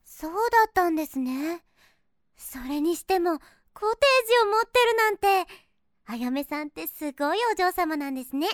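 Very high-pitched, innocent, polite girl. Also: animated, normal speed, dry recording, clean studio sound. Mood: admiring. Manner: earnest, deferential, hesitant speech. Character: sweet, polite, innocent and easily flustered young girl. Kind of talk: Japanese voice drama acting.

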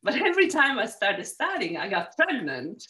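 A woman's voice: fairly high pitch, wildly swooping pitch, normal speed. A woman in her forties from Sicily with an Italian accent, talking through her career as an interview guest with frequent restarts. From Sicily, Italy. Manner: warm storytelling with frequent restarts. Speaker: real estate agent, guest. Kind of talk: casual interview chat.

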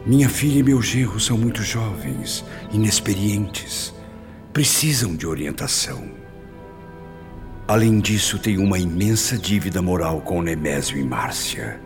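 A humble, repentant father in a radio drama. His voice is deep and he pleads earnestly, in measured, formal phrases. Music plays behind him. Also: expressive. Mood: humble pleading. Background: background music.

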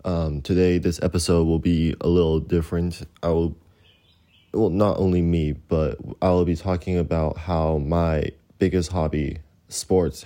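A man's voice: rumbling low, warm tone. A teenage boy hosting a student podcast, reading his script earnestly with a few fillers.

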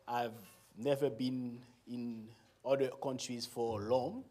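Haitian guy in his 40s talking slow in church, creole is his first language, laughs a lot. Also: mid-range voice, fairly even intonation. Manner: slow, warm and laughing, with frequent pauses. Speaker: guest speaker, pastor. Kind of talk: church talk.

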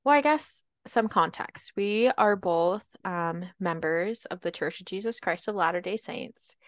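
A young woman co-hosts a casual podcast, telling stories in a chatty, upbeat way. Her voice sits a little low. The sound is dry and close, with no room around it. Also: measured pace, no echo. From Baltimore, Maryland. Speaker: podcast co-host. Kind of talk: casual chat.

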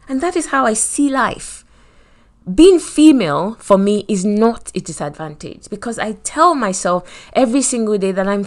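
Nigerian woman, host of a faith podcast, earnest motivational delivery, mid-pitched. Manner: earnest, preacherly, acts out quoted voices.

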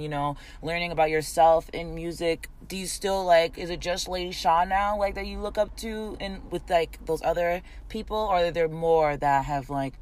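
A woman hosting a podcast, upbeat and chatty, asking long rambling questions full of fillers. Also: fairly low pitch. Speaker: podcast host. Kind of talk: casual podcast interview.

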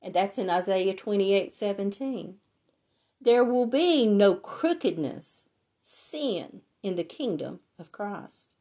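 A woman with a hoarse, raspy voice from laryngitis, teaching a Bible lesson and reading scripture in a deliberate way. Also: mid-range voice, expressive, warm tone.

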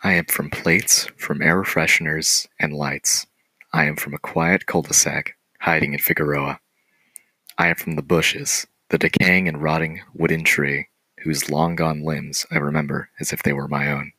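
A teenage boy reading his own poem aloud in a steady, plain way. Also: rumbling low, moderate pace, husky, no echo, rough recording. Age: teens. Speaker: student.

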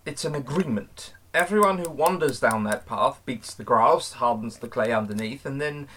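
A man playing an earnest, cryptic occult investigator and mentor in an audio drama, explaining things with slightly awkward, halting restarts. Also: mid-range voice, a bit bright, slight background noise, natural voice.

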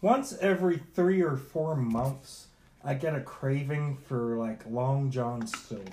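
Man, mid pitch, casual podcast chat, rambling, wry, filler-heavy. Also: takes it slowly, darkish tone, a little hiss or hum. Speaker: podcast co-host.

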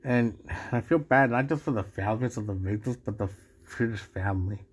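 A man's voice: on the low side, fairly quick, slightly rough. A man from Mexico hosting a solo podcast, talking casually in a rambling way with many restarts and asides. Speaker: podcast host.

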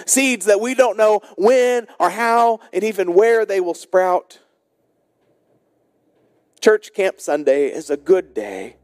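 An American man, a pastor, delivering a sermon in deliberate short phrases with rhetorical repetition. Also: fairly high pitch, darkish tone. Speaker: senior pastor.

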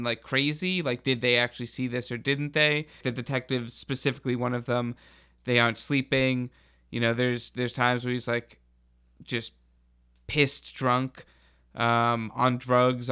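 A man's voice: medium pitch, a bit bright, clear, resonant tone. A man chatting casually on a podcast, telling things in a rambling, informal way full of filler words. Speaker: podcast speaker.

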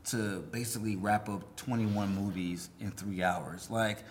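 A man's voice: fairly low pitch, steady tone, measured pace, a little hiss or hum. A man on a podcast panel discussing movies casually, often repeating phrases as he goes.

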